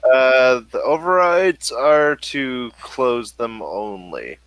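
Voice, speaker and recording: A man running a tabletop role-playing game as game master, explaining the rules with hesitant pauses and fillers. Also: mid-range voice, lively intonation, a bit bright, clean tone, dry recording.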